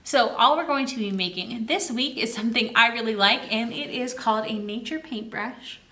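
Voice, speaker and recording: A woman's voice: fairly high pitch, normal speed, bright, forceful tone, echoey room. A woman hosting a children's story time, reading aloud and explaining in a sing-song, exclaiming way with questions she answers herself.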